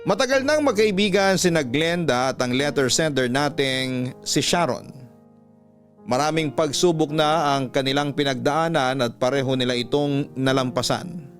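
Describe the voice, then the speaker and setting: A man with a Filipino accent narrating scripted, emotional stories in a classic radio style, measured and heartfelt with dramatic pauses. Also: mid-range voice. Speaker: radio storyteller and host. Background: soft background music.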